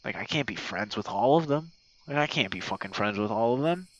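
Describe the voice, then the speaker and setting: A man's voice: mid-range voice, animated, brisk, no room sound. A man rambling casually in an informal, slangy way with some swearing, like a loose monologue. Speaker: audio roleplay creator. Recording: dull lo-fi recording. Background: chirping crickets, night sounds.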